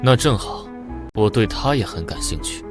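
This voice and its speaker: Stern young-action-hero commander, male actor: lowish, clipped, cool authority, animated drama. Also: moderate pace, over music, close to an everyday voice. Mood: cool, questioning. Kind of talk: animated motion-comic drama dubbing.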